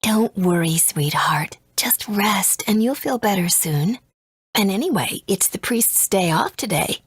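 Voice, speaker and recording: A woman playing a soothing, blithely deadpan mother in a video game, with a breathy, hushed voice. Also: medium pitch, measured pace, no room sound, studio quality. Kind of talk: English game dub.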